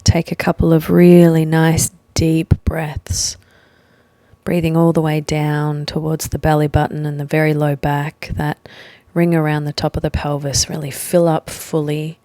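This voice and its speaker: A woman leading a guided meditation, speaking gently and leaving long pauses between short phrases. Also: low voice, completely flat delivery, dry recording. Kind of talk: guided meditation, slow soothing instruction.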